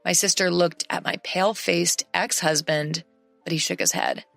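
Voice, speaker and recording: A woman narrating a first-person story in a steady, matter-of-fact way. Her voice sits low for a woman. The recording is dry and close, with faint music under it. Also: normal speed, gravelly, no room sound. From English-speaking country.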